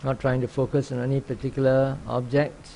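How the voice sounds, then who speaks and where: Calm guy leading a meditation, soft mellow tone w/ some fry, long pauses, slight background noise. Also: medium pitch, rough recording. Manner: calm, unhurried, with long pauses between short phrases. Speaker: meditation teacher, Buddhist monk. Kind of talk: guided meditation instruction.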